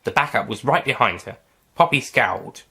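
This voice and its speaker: A man narrating a fan-written story aloud in a plain, steady reading voice. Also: medium pitch, moderate pace, breathy edge. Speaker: narrator and writer.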